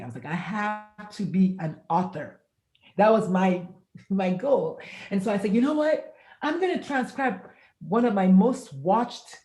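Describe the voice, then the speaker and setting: Animated woman in her fifties, roomy sound. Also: lowish voice. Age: 50s. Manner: animated storyteller, acts out quoted dialogue, laughs often. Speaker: author and pediatrician guest. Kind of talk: casual interview chat.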